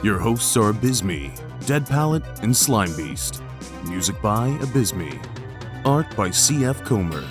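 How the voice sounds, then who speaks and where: A man reading the podcast's credits as a scripted, measured voice-over. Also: lowish voice, expressive, over music. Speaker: show announcer.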